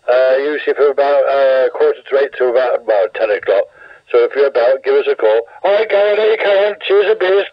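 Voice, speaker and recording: A British man in friendly, casual chat as a radio operator, heard through a CB radio speaker. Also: a little high. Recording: dull band-limited CB radio transmission.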